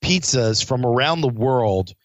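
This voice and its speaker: A man's voice: mid-range voice, expressive, measured pace, dry recording, muffled recording. A man who works as a chef, talking casually with lots of fillers and restarts, a bit stuffed up from a cold. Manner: rambling, frequent fillers and restarts. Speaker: chef and podcast host. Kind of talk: casual spoken recap.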